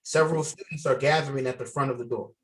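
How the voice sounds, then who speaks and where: A man's voice: medium pitch, steady tone, fast, fairly bright tone, distant mic, rough recording. A man directing a table read, giving instructions and reading stage directions aloud.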